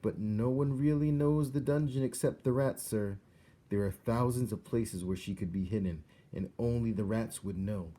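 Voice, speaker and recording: A man's voice: fairly low pitch, moderate pace, dark tone, lightly acted. A man voicing a small, earnest male mouse who pleads politely and formally in a storybook reading. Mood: urgent insisting. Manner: formal, deferential address.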